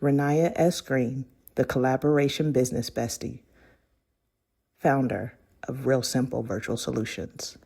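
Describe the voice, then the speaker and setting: A woman's voice: very deep voice, steady tone, measured pace, creaky, mellow, slightly rough. A woman narrating a scripted, inspirational book foreword in an audiobook style.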